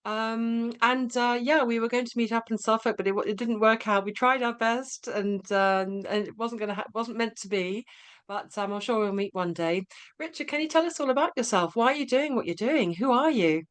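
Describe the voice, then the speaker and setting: A woman's voice: on the high side, moderate pace, clean tone. A woman hosting a podcast interview over a video call, chatty and encouraging. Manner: chatty and encouraging, laughs easily. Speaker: podcast host. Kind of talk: casual interview chat.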